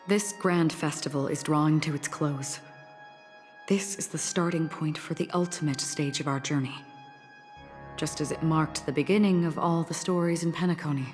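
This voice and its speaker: A woman voicing a calm, ceremonial guide in a game dub, speaking in a measured, poetic way. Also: deep voice, close to an everyday voice. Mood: solemn. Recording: game cutscene with music underneath.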